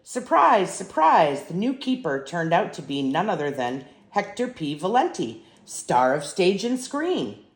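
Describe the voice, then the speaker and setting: Expressive older woman reading a storybook aloud. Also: fairly low pitch, unhurried, few pauses, reverberant. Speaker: grandmother reading stories. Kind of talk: storybook read-aloud for children.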